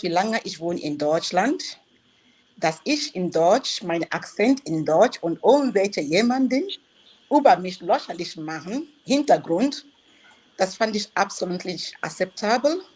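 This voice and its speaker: A woman's voice: medium pitch, lively intonation, a little slow, a little mellow, husky, rough recording. A woman from Nigeria who lives in Germany, calling in to a talk show and firmly defending her accent, in earnest. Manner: earnest, firm, defending herself. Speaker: caller. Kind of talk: talk-show call-in, speaking English and German.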